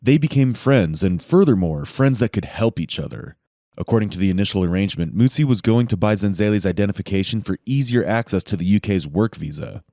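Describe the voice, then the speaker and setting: A man giving scripted, measured true-crime narration on a close studio mic. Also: on the low side, expressive, warm tone, dry recording. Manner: measured, dramatic storytelling. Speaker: documentary narrator.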